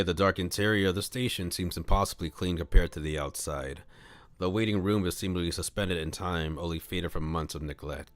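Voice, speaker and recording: A man's voice: low-pitched, no echo. A man streaming a read-aloud of a visual novel, narrating and adding casual, playful asides. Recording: music playing behind the voice. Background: music.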